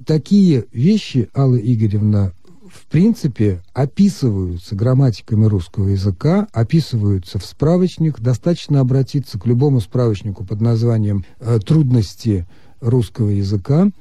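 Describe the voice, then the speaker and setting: A man calmly explaining Russian grammar as the expert on a radio call-in show. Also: mid-range voice, slightly rough, no room sound, clean studio sound. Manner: measured, lecturing. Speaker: language expert answering listeners.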